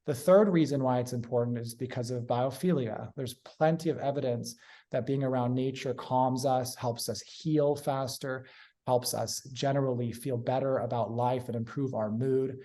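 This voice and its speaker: Mellow-voiced man explaining earnestly. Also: mid-range voice, fairly even intonation, moderate pace. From Hong Kong. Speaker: guest, urban agriculture consultant. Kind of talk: interview answers.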